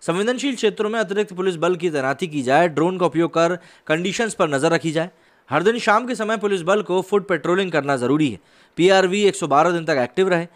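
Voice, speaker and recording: A man hosting a news commentary, reading reports in an earnest, formal way. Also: high-pitched. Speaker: YouTube news host and commentator.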